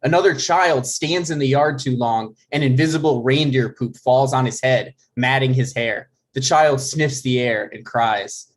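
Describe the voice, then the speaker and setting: A man's voice: medium pitch, measured pace, few pauses. A man narrating the stage directions of a comedy script at a table read.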